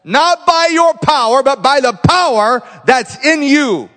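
A man preaching as a visiting missionary, telling healing stories and declaring loudly, rising to exclamations. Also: very high-pitched, animated, slow, long reverb tail. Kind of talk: pentecostal sermon.

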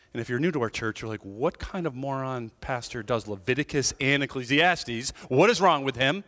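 A man preaches from the pulpit in an animated, chatty manner, often asking his listeners "right?" His voice sits high and leaps up and down. A long reverb from the church hall trails behind his words. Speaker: pastor. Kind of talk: sermon. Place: church sanctuary.

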